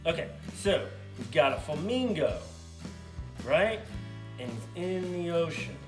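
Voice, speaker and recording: A man speaking casually and a little haltingly as a sidekick on a children's puppet show, making up stories. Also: fairly high pitch, expressive. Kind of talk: casual improvised storytelling for kids. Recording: roomy echo, faint music behind.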